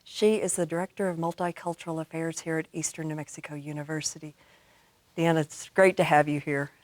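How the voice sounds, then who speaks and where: A woman hosts a conversational interview. Her voice sits slightly low, and the studio sound is dry with very little room around it. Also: steady tone, brisk, no echo. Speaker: talk show host.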